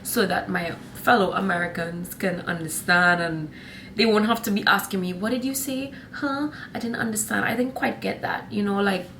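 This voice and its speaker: A woman's voice: medium pitch, normal speed, a bit bright, a little hiss or hum. A young woman with a Trinidadian accent chatting to camera in a rambling, sassy vlog style, calling her viewers honey and y'all. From Trinidad and Tobago. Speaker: vlogger. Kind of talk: casual chat to camera.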